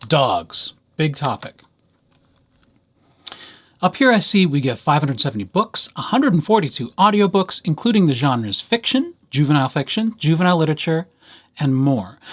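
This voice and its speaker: A man presenting a casual software tutorial as a host, with playful, dryly humorous asides. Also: on the high side, breathy edge.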